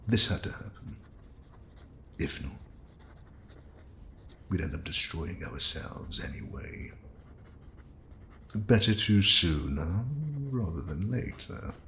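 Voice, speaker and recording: An actor playing a cold, fanatical scientist villain who speaks with menacing deliberation and a gravelly creak in an audio drama. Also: male voice, rumbling low, expressive, takes it slowly, long pauses, a bit bright, a little hiss or hum, exaggerated voice. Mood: bitter, grandiose. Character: cold fanatical male scientist villain bent on culling humanity. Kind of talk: audio drama monologue and dialogue.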